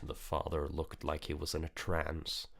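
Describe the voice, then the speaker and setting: A man's voice: a bass voice, completely flat delivery, moderate pace, creaky, a bit bright, husky. A man reading a visual novel aloud as dramatic story narration. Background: music.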